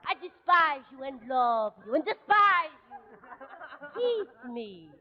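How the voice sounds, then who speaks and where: An actress playing a vain, melodramatic French femme fatale with a heavy accent and overwrought, broken English in a 1940s radio comedy. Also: female voice, sits high, very animated, slow pace, full character voice. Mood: melodramatic passion. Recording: muffled old radio broadcast, reverberant hall.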